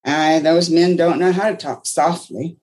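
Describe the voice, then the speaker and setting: A woman voicing a protective older caretaker who speaks firmly and chides gently. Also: low voice, fairly even intonation, measured pace. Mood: soothing. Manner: firm, chiding, motherly.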